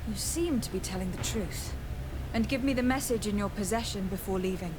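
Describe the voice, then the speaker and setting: An actress playing a composed, authoritative diplomat in a video game, speaking formally and with command. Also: female voice, mid-range voice, a little fast, noisy background, close to an everyday voice. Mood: stern, composed. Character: young authoritative female diplomat, stern and composed interrogator.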